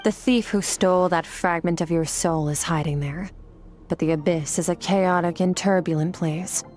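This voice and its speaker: Woman voicing an icy game mentor, lowish voice, curt and all business. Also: steady tone, normal speed, darkish tone, soft background music, close to an everyday voice. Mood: explaining. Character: aloof, cool-headed woman mentor from the Abyss. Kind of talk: video game voice acting.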